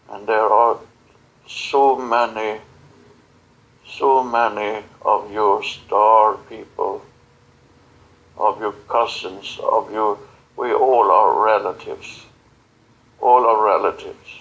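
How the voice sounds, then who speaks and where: Slow, halting man channeling a spiritual message. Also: on the low side, fairly bright tone, rough recording. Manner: halting, solemn, broken phrasing. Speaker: channeler in guided visualization session.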